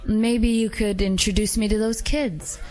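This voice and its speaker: Woman playing a soft-spoken werewolf assassin. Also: mid-range voice, fairly even intonation, unhurried, close to an everyday voice, poor audio. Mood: gentle. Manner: polite, gentle, softly coaxing. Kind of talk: hobby audio drama acting.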